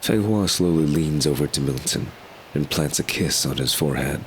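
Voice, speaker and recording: A man's voice: extremely low pitch, moderate pace. A man reading scripted narration for an audio drama. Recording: clear background ambience noise.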